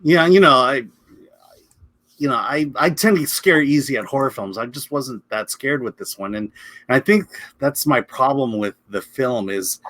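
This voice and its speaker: A man with a bright, forward voice of average pitch, recorded dry and close. He talks through a film review in a casual, halting way, often doubling back on his words. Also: animated, no room sound. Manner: hesitant, halting, repeats words. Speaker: film critic co-hosting a movie review.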